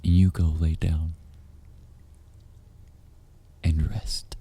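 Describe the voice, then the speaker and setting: Super low voiced guy, slow n hushed, kinda teasing, talking right to you up close. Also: little pitch movement, husky. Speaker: voice actor. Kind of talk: intimate audio roleplay monologue, addressing listener as darling.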